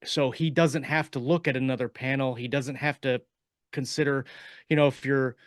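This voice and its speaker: A man on a podcast panel, explaining in casual talk with halting, deliberate phrasing. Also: mid-range voice, steady tone, no room sound, studio quality.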